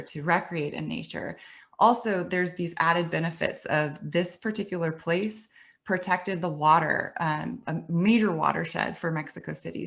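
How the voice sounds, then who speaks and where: A middle-aged woman with a low voice tells her story in a webinar. She speaks conversationally, with restarts and light laughs, over a computer mic. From United States. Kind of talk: presentation talk.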